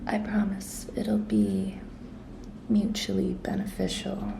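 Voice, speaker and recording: Slow, whispery, flirty office intern played by a woman. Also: medium pitch, sing-song, exaggerated voice, low-quality recording. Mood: pleased, flirtatious. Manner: breathy, coy, slow and deliberate, often dropping to a whisper. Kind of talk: whispered ASMR roleplay.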